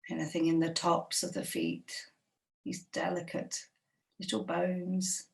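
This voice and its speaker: Low, creaky-voiced woman guiding meditation. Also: flat delivery, recorded from a distance. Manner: slow, gentle, unhurried with long pauses. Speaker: meditation guide. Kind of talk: guided body scan meditation.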